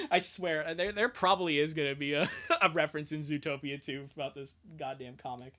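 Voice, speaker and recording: A man's voice: a little high, expressive, moderate pace, sharp tone. A man hosting a livestream, chatting casually with viewers in a jokey, exclamatory way. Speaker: livestream host.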